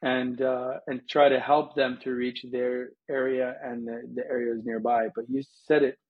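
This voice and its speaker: A man's voice: medium pitch, little pitch movement, moderate pace, breathy edge, phone-quality sound. An American man from Colorado answering interview questions conversationally, with anecdotes, as a guest from a missions organization. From United States, Colorado.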